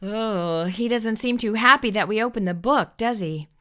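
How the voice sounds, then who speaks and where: A woman's voice: a little high, moderate pace, sharp tone. A woman hosting a children's storytime, reading a picture book aloud expressively and putting questions to her young viewers. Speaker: children's storytime host and reader.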